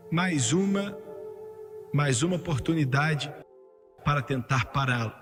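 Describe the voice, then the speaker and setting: Fervent male preacher, high voice, long hall reverb, soft music under the sermon. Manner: emphatic preaching that climbs to fervent, shouted peaks, with joking asides. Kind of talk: sermon, voiced over in translation.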